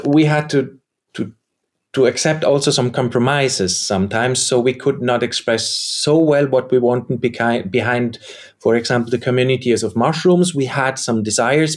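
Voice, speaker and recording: A man's voice: medium pitch, a little mellow. A man whose first language is German, answering interview questions in a searching, reflective way as a theater maker. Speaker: theater maker and game co-creator.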